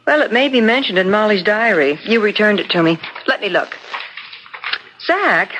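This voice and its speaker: A woman's voice: medium pitch, lively intonation, normal speed, a bit bright. An actress playing an inquisitive, helpful woman assisting an investigator in a 1970s radio mystery, eagerly questioning. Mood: curious. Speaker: radio actor. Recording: noisy old radio broadcast recording.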